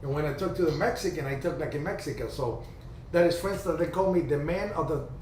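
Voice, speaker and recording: Male host, storyteller, slightly high voice, roomy video-call sound. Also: darkish tone. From Cuba. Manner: chatty storyteller, halting restarts. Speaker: ham radio livestream host. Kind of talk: casual group video chat. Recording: distant roomy video-call audio, faint hiss.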